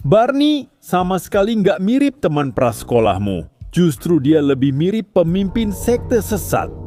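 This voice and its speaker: Pria bernada tinggi yang sarkastis dan ekspresif. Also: male voice, high-pitched, very animated, a little slow, warm tone, slight background noise. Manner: sarcastic and exasperated, mocking tone. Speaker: commentary narrator. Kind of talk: comedic commentary voice-over.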